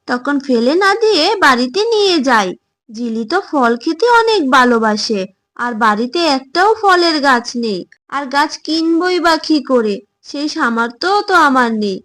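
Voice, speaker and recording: Kind, motherly cartoon jackal, female, high-pitched and gentle woman performer. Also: expressive, normal speed. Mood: curious, pleased. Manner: gentle, motherly, thinking aloud. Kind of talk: Bangla cartoon fable voice acting.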